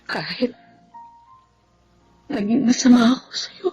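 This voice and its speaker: A woman playing an ailing, remorseful older sister in a Filipino radio drama, with a weak, breathy voice. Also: a little high, slow pace, a little mellow, soft background music, exaggerated voice. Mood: remorseful, tearful. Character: sick, remorseful older sister, once greedy, now humbled.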